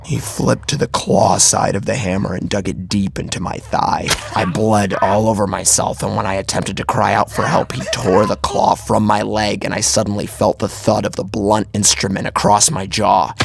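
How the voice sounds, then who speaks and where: A man narrating a first-person horror story dramatically, with a grave, intense delivery and a husky, gravelly voice. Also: fairly low pitch.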